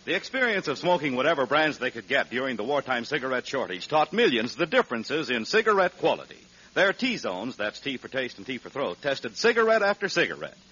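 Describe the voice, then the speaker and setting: A man's voice: medium pitch, big pitch swings, brisk, bright, forceful tone, breathy edge. A man reading a radio commercial in 1940s announcer style, emphatic and polished. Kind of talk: 1940s radio advertising read. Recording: muffled vintage radio recording.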